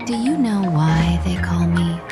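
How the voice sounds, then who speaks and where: A woman playing a cool, delicate-looking merchant who poses as a deadly assassin in a video game, speaking in a composed, measured way with veiled threats. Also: lowish voice, vocal fry. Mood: cool menace. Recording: loud background music.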